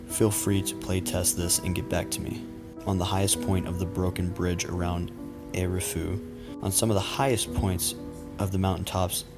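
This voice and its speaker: A man reading a scripted creepy story as narrator in a measured, matter-of-fact way. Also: sits low. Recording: music behind the voice. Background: background music.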